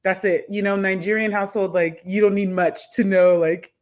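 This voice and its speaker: A woman in her thirties answers interview questions at an unhurried, reflective pace. She hesitates, restarts and circles back as she works through her thoughts. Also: mid-range voice, steady tone, a little mellow. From United States. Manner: thoughtful, many fillers and restarts. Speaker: podcast guest, academic.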